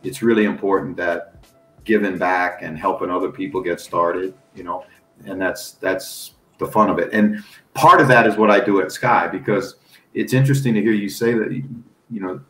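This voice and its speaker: Very low male voice, middle-aged, from the US, animated storyteller, echoey room, faint music behind. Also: normal speed. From United States. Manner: animated, folksy, laughs while telling stories. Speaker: guest coach and analyst. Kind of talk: casual storytelling on a podcast.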